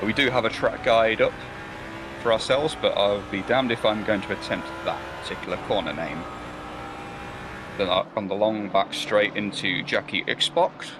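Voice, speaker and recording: Low-voiced man doing race commentary. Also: normal speed, background noise. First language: not German or Belgian. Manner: rambling, hesitant with many fillers and restarts. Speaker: sim racing commentator.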